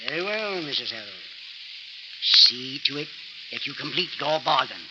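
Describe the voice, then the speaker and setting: Actor as a sinister professor, muffled crackly radio. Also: male voice, medium pitch, animated, lightly acted. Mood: menacing. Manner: formal, deliberate, menacing. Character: sinister, mercenary professor, coolly menacing hired killer. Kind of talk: 1940s radio horror acting.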